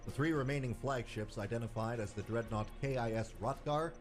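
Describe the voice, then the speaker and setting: A man playing a stern, demanding squadron commander, giving a formal, measured military briefing in a space game. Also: mid-range voice, over music, close to an everyday voice. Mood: grave, resolute.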